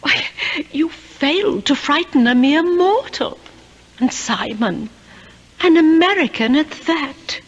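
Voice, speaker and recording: An actress playing a genteel ghost wife who gently chides and adores, in a measured 1970s radio drama style. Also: female voice, high voice, full character voice. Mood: chiding, coaxing. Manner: measured, wifely, gently flattering. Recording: dull old radio broadcast.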